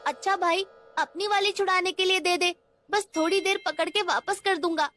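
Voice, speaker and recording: A woman's voice: very high-pitched, fairly even intonation, normal speed, bright, forceful tone, soft background music, pitched above the performer's own voice. A woman voicing a poor young orphan boy in a cartoon story, pleading in Mumbai street slang.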